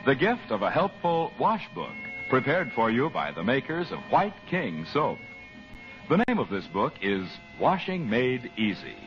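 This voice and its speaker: Old-time radio ad guy, lively sing-song delivery, muffled with soft music behind. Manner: upbeat, persuasive pitch delivery. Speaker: announcer. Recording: dull old radio broadcast with music bed.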